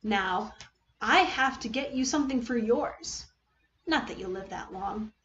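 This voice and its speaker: Woman acting wicked teenage boy villain, mocking, high-pitched, theatrical drawn-out teasing, story reading, slightly dull recording. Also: lively intonation, fairly bright tone, reverberant, a little hiss or hum. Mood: gleeful taunting. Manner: taunting, drawn-out teasing delivery.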